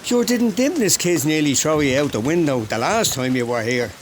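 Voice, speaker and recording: A man's voice: fairly high pitch, lively intonation, moderate pace, noisy background, lightly acted. A man playing a cagey, folksy family man in a radio comedy, breezy and colloquial, with an Irish accent. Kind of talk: comedy radio drama acting.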